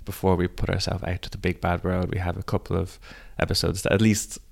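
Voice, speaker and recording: A man with a cold, co-hosting a casual podcast, hesitant and stumbling over his words, a bit croaky. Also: low voice, monotone, a little fast. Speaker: podcast co-host. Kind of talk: casual chat.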